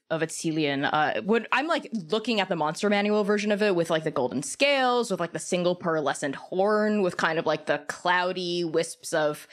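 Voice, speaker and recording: A woman guest on a podcast, explaining her opinions in casual chat peppered with "like" and "kind of". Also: lowish voice.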